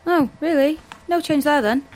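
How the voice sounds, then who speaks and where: A woman's voice: high voice, normal speed, darkish tone, slight background noise, lightly acted, rough recording. An actress playing a wary, sardonic young woman on the run in an audio drama, with a dry, questioning manner. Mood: sceptical, troubled. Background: music.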